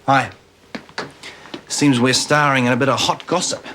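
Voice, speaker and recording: Guy playing a cocky kiwi doctor, smooth and teasing, ordinary mid voice. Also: medium pitch, steady tone, unhurried, a bit bright, slight background noise, natural voice. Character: cocky, charming young male doctor. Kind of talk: 1990s TV soap acting.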